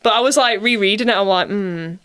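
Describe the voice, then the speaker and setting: A woman's voice: medium pitch, a little fast, fairly bright tone, smooth. A young woman from Bradford with a Yorkshire accent, talking casually as an actress being interviewed, self-deprecating and given to repeating herself for emphasis. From Bradford, northern England.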